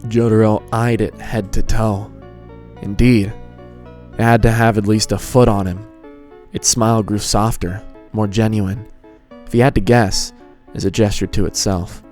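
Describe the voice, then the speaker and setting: A man's voice: lowish voice, flat delivery, unhurried, darkish tone. A man reading scripted fan fiction as the narrator of a dramatized story. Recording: music behind the voice.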